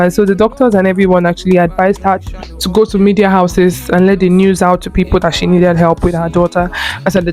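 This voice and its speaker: A woman's voice: mid-range voice, a little mellow. Earnest young Nigerian woman telling her story. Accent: Nigerian Igbo. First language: Igbo. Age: early 20s. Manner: chatty and earnest, with frequent fillers and self-corrections. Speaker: lifestyle creator. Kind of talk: personal storytelling to camera.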